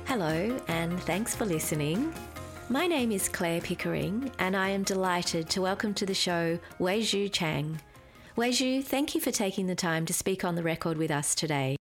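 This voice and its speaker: A woman's voice: lowish voice, lively intonation, normal speed, soft background music. A woman hosting a podcast interview, polite and well prepared. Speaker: podcast host. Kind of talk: hosted interview.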